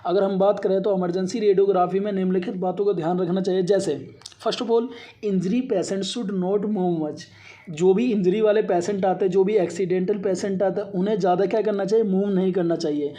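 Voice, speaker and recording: A man's voice: high-pitched, steady tone, fairly quick, dark tone. A man teaching as an instructor, going through a slide lecture point by point and repeating himself as he explains. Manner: repetitive, reads points out then re-explains them. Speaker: radiography instructor.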